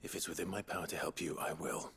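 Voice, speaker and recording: An actor playing a stoic, steadfast heroic lord in a video game, measured and grave, with a hushed delivery. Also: male voice, deep voice, steady tone, husky, exaggerated voice. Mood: calm resolve. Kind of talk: dramatic video game acting. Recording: loud music behind.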